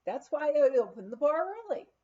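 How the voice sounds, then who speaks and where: A woman's voice: high-pitched, normal speed, highs cut off, voice pushed up. A woman voicing an eager, folksy cowboy bartender in a storybook reading. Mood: dumbfounded. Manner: folksy, excitable.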